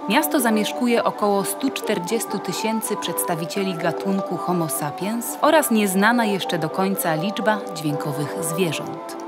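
A woman's voice: medium pitch, moderate pace, few pauses. A woman reading scripted narration in the style of a nature documentary for an audio play. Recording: music behind the voice. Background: music.

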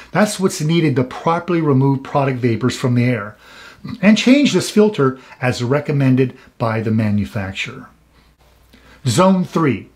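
A man's voice: on the high side, a little mellow. A man in his fifties, an industry expert hosting a show, giving scripted advice straight to camera in an earnest, cautionary way. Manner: earnest, cautionary, emphatic warnings.

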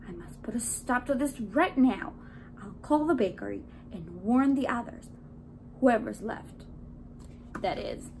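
Woman voicing an excitable little male donut, swooping pitch. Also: a little slow, a little hiss or hum, low-quality recording. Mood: worried, determined. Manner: dramatic, exclaiming, sometimes frantically whispering. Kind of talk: children's storybook character voice.

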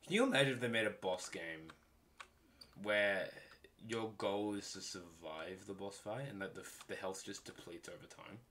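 A man's voice: on the low side, moderate pace, fairly bright tone, a little hiss or hum. A young man chatting casually and joking while playing a game with friends. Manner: playful, jokey, laughs often. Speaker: gamer. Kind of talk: casual gaming chat.

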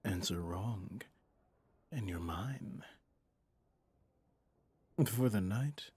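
A man playing a flirtatious, possessive mafia boss in an intimate ASMR roleplay, teasing and menacingly smooth, with a husky, breathy delivery. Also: a little mellow, no room sound, heavily acted. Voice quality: low, husky, often dropping to a whisper. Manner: slow, teasing, intimate, with pet names. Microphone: close studio microphone, dry.

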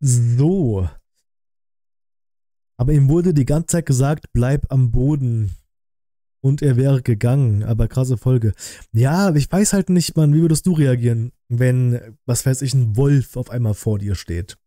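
A man in his thirties with a very dark, mellow voice of middling pitch. He comments casually as a reaction host, using colloquial, slangy wording. The sound is close and dry. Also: measured pace, no echo.

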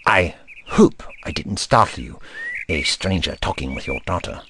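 A man's voice: sits low, animated, normal speed, background noise. A man playing a kindly, whimsical, eccentric Doctor in an audio drama, with a theatrical, courtly manner. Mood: courteous. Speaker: voice actor.

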